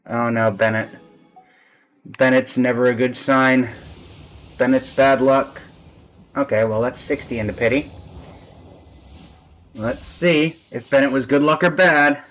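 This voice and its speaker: A man's voice: mid-range voice, a little slow, long pauses, fairly bright tone. A man giving casual live-stream game commentary as the host, with a dry, resigned way of talking. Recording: music playing behind the voice. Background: background music.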